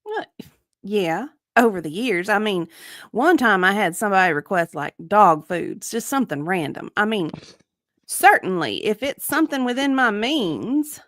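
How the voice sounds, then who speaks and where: A woman's voice: medium pitch, sing-song, normal speed, fairly bright tone, no room sound, studio quality. A woman voicing an evasive, matter-of-fact victim advocate giving clipped, hedging answers in a comedic deposition reading. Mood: guarded.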